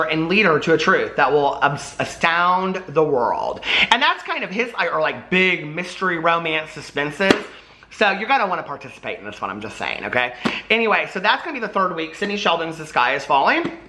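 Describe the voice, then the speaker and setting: Chatty guy doing a book vlog, really bright voice on the higher side, gushy, rambles off on little tangents. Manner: gushy, playfully dramatic, rambling asides. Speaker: book vlogger hosting a readalong. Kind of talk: casual chat to camera.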